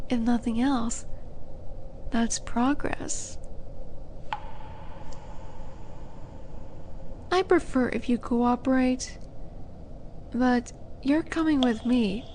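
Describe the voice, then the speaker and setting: Female demon, played by a woman for roleplay audio drama: seductive, taunting, unhurried, higher-pitched, sinking into whispers, faint noise behind. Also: rough recording. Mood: smug, seductive. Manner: slow, coaxing, condescending purr with breathy whispered drops. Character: flirty, teasing female demon buyer at a dark auction.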